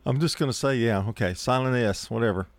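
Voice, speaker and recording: A man's voice: medium pitch, quick pace, dry recording. A man hosting a morning radio show, joking casually with his co-host. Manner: playful, joking, laughs often.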